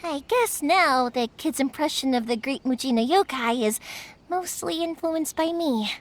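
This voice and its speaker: An actress voicing a cheerful, spirited young woman who makes fireworks, talking in a lively, chatty, big-sisterly way. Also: high voice, a little slow, slight background noise. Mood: earnest. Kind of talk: game voice acting. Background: game music.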